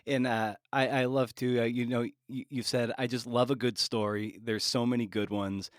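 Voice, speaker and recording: A man's voice: medium pitch, little pitch movement, normal speed, no echo, studio quality. A man hosting a casual interview, rambling and searching for his phrasing as he goes. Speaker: podcast host interviewer.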